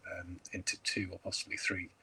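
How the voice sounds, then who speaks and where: Man, crime author on a video call, hesitant chat, bright forceful tone with a raspy edge. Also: deep voice, monotone, brisk. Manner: hesitant, many ums and restarts. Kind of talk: casual interview chat.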